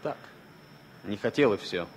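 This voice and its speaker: A wry ex-military pilot in his thirties for a radio play. His voice is fairly low. He talks informally and haltingly, with a needling edge. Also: animated, a little hiss or hum. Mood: casual, probing. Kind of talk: Soviet radio drama acting.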